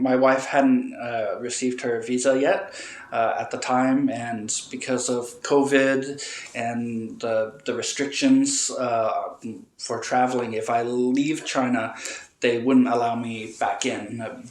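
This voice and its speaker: A man talking over a phone call as an interview guest, halting with many filler sounds and restarts. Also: mid-range voice. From Canada. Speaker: guest, relocating client. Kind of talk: casual interview answers. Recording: roomy echo.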